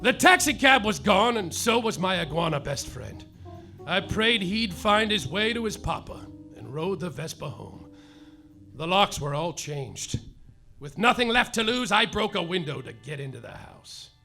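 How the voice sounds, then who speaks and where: A man's voice: high voice, sing-song, a little slow, gravelly, bright, forceful tone, faint music behind, full character voice. An actor playing a hapless, overwrought private detective narrating his misfortunes in a live comedy radio play. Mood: mopey. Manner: melodramatic noir narration, overwrought. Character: hapless hard-boiled male private detective. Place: theater stage, live.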